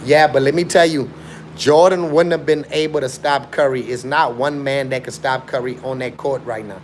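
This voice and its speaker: A man's voice: medium pitch, steady tone, normal speed, a little hiss or hum. A young man from Chicago, raised in South Africa, hosting a casual live stream and arguing his point with repetitive, slangy, emphatic talk. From born in Chicago, raised in South Africa. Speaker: livestream host.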